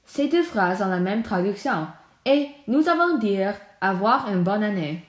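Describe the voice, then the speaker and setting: A woman's voice: medium pitch, lively intonation, unhurried. Young woman, upbeat school presentation, kinda echoey room. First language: Mandarin Chinese. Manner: upbeat, with playful exclamations. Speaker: student presenter.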